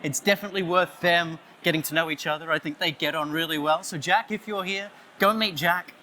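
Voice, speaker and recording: A man with an Australian accent giving a playful conference talk, loudly joking and chatting with the audience. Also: fairly high pitch, fairly quick, a little hiss or hum. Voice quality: loud voice. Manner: jokey, playful, chatty with the crowd. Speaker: conference speaker. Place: conference stage, audience on headphones.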